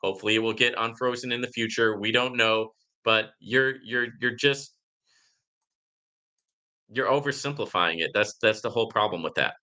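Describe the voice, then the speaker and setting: A man hosting a live Q&A in a casual, conversational and emphatic way, reading comments and often checking in with a quick "right?". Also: on the low side, sharp tone. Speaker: livestream host answering viewer questions.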